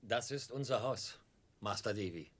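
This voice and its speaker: A man's voice: on the low side, unhurried, a bit bright, no room sound. An actor playing a good-natured, simple young seaside working man, plain and respectful, in a 1960s German radio play. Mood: friendly, proud.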